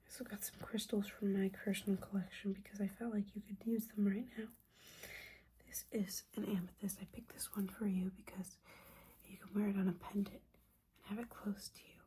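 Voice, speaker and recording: A woman playing a gently judging, caring witch mentor in an ASMR roleplay, whispering soothingly and conversationally. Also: mid-range voice, level tone, normal speed, a little hiss or hum, natural voice. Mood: gently coaxing. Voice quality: breathy whisper.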